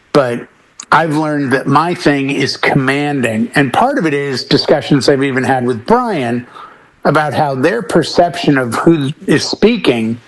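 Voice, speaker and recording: A man's voice: medium pitch, low-quality recording. A middle-aged man chatting casually on a panel as a DJ, speaking emphatically and repeating words to drive points home. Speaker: talk show panelist, professional DJ.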